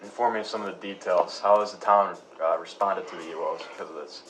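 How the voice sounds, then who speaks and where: A man's voice: deep voice, steady tone, fast, fairly bright tone, a little hiss or hum, rough recording. A man playing a polite, curious radio show host in an acted interview, conversational and prompting. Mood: curious, probing.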